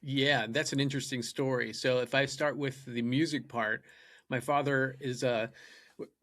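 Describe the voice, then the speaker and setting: A man's voice: medium pitch, fairly even intonation, normal speed, a bit bright, no echo. A man whose first language is Japanese, a podcast guest telling his story in an earnest, eager way. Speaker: guest, data scientist and musician. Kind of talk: podcast interview.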